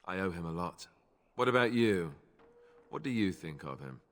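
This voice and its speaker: A man voicing an earnest, self-deprecating young knightly warrior in a video game, explaining things in a measured way. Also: low voice, animated, in a large hall. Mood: candid, modest.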